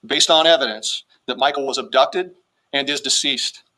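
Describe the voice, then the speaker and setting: A man's voice: mid-range voice, moderate pace, bright, forceful tone, low-quality recording. A man reading out a formal press statement as a police spokesman, in official wording.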